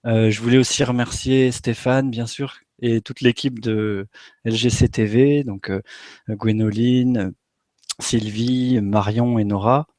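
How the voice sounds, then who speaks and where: A man hosting a live online conference, introducing his guest in a quick, friendly way with fillers. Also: mid-range voice, fairly even intonation, darkish tone, dry recording, clean studio sound. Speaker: host.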